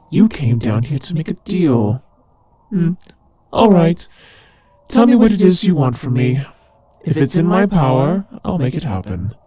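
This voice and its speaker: Guy doing a demon king of hell for roleplay audio, raspy n mellow, amused drawl, sardonic and teasing. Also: a little high, heavily acted. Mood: businesslike, pleased. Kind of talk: scripted roleplay audio drama monologue.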